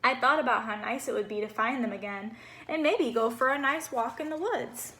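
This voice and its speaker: A woman voicing a cheerful, naive little male snowman who tells his storybook tale in a bubbly, expressive way. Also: a little high, moderate pace. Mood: chatty, innocent.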